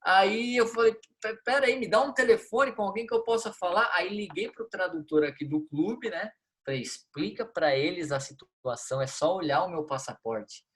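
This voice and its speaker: A Brazilian man in his thirties, a guest on a podcast, telling stories in a lively way, acting out dialogue and laughing often. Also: sits high, normal speed, few pauses. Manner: animated storyteller, acts out dialogue, laughs a lot. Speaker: football coach, guest. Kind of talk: storytelling in a podcast interview.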